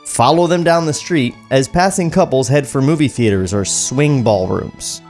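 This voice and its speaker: A man's voice: medium pitch, normal speed, darkish tone. Man narrating a screenplay over music. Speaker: podcast co-host reading script directions. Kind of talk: table read with joking asides. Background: background music.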